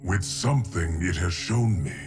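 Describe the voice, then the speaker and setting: Guy doing a deep grave time guardian, super formal and dutiful, big score behind. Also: normal speed, music in the background, close to an everyday voice. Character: solemn ageless male timekeeper construct, dutiful. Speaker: video game character. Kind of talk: game cutscene acting. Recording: game audio with loud score.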